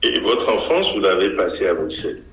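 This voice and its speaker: A man hosting an interview, asking measured, probing questions. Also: low voice, big pitch swings, gravelly, a bit bright, roomy sound. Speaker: interviewer host.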